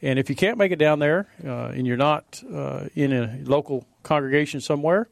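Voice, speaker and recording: A man co-hosting a morning religious radio show, giving event announcements in a steady, measured way. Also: fairly high pitch, creaky, dry recording, clean studio sound. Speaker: radio co-host. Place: radio studio.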